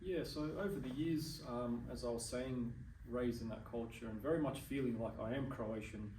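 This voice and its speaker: A man's voice: mid-range voice, normal speed, darkish tone, echoey room, distant mic, a little hiss or hum, poor audio. A young adult Australian man in an interview, speaking earnestly and measuredly, with frequent ums and restarts. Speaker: interview guest. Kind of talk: casual interview chat.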